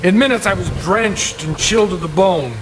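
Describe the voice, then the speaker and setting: An actor playing a haunted older gentleman in a radio drama, recounting his youth in formal, precise, insistent words. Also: high voice, normal speed, natural voice, poor audio. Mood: reflective, intense. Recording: music under the voice. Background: dramatic music.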